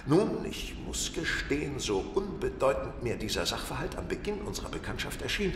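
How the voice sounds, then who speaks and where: An actor playing a keen, self-assured detective in a German radio play, speaking in deliberate, formal, precise sentences. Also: male voice, medium pitch, big pitch swings, fairly bright tone. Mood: assured, explanatory. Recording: roomy, distant, echoing, faint noise.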